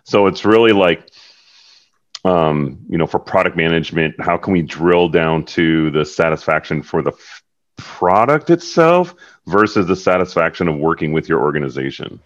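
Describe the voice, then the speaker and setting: A man with a very deep voice speaks on a panel in a loose, rambling way, full of "um"s and restarts. The audio is muffled and lo-fi. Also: big pitch swings, moderate pace. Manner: rambling, with many fillers. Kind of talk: casual panel discussion.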